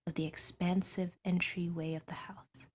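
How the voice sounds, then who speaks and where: A woman's voice: fairly low pitch, level tone, normal speed, slight background noise. A woman reading a science fiction story as a scripted audiobook narration.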